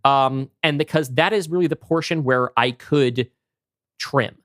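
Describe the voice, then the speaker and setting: A man's voice: mid-range voice, unhurried, fairly bright tone, no room sound, clean studio sound. A man co-hosting a podcast, talking casually and narrating a script he wrote. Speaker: podcast co-host and writer.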